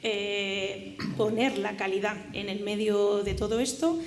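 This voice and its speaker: A woman's voice: medium pitch, unhurried. A woman moderating a panel discussion in a casual, conversational way with fillers. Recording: echoey hall with background noise.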